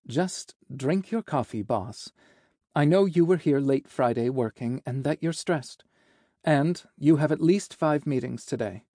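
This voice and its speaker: Man voicing a chirpy female secretary, lifted pitch. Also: measured pace, dark tone, dry recording, studio quality. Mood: gently teasing. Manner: chirpy, matter-of-fact. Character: cheerful, efficient young female secretary, quick and teasing. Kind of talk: audiobook character dialogue.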